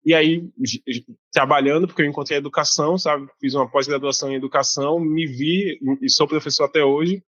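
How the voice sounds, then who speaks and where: A man's voice: on the high side, a little fast, no room sound. Chatty man, dry dull sound. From Bahia, Brazil. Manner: relaxed, chatty, many fillers and restarts. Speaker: guest author. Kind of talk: casual interview.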